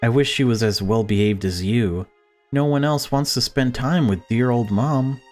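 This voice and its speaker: A man's voice: mid-range voice, normal speed, darkish tone, faint music behind, close to an everyday voice. A man voicing a deluded, self-pitying mother who dotes and snaps, reading visual novel dialogue aloud. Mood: doting, self-pitying.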